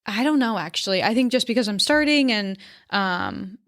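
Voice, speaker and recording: A woman's voice: medium pitch, expressive, moderate pace, a bit bright, no room sound, clean studio sound. A woman in her twenties from Maine, a photographer, talking casually in an interview with many ums and likes. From central Maine, US. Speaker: guest photographer.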